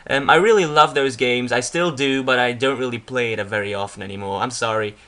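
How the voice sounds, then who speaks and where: A man's voice: mid-range voice, brisk, bright, forceful tone. A young man from Belgium with a Flemish accent, a Dutch speaker talking in English, rambles casually through a slideshow about himself, full of restarts and fillers. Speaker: YouTuber. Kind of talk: casual vlog narrating a slideshow.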